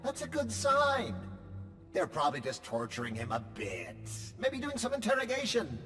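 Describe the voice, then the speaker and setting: Fussy droid with swooping intonation. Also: male voice, sits high, wildly swooping pitch, normal speed, fairly bright tone, soft background music, heavily acted. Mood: blithely unworried. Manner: prim, overly polite, theatrical. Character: fussy, chatty male droid, cheerfully tactless. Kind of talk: video game cutscene acting.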